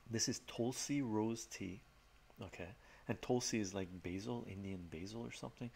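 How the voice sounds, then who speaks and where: A middle-aged man, Armenian by birth, chats in a relaxed, rambling way with fillers, as a livestream host in his home study. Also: fairly low pitch, moderate pace, vocal fry, slight background noise. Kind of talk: casual soft-spoken chat with viewers.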